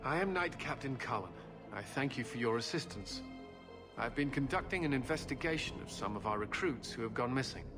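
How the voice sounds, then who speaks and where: Guy doing dutiful templar officer in a fantasy game, formal and earnest, medium voice, loud music behind. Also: mid-range voice, lively intonation, brisk, lightly acted. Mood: earnest, troubled. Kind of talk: video game voice acting.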